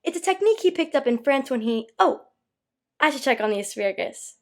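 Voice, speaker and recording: A woman playing a busy, chatty, helpful kitchen colleague in an audio drama, with chirpy, hurried chatter. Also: high voice, animated. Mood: busy, friendly. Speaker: voice actor.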